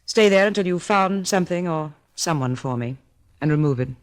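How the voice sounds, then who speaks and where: An actress playing a cool, imperious young heiress from a decadent family in an old-time radio drama. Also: female voice, lowish voice, lively intonation, brisk, lightly acted. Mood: commanding. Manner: haughty, self-assured.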